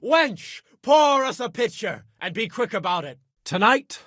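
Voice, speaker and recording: A man's voice: high voice, very animated, a little slow, fairly bright tone, dry recording, clean studio sound, exaggerated voice. A man playing a lusty, self-pitying young nobleman in a video game, complaining dramatically in coarse, colloquial speech. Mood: defiant, rowdy. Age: young adult. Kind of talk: video game character dialogue.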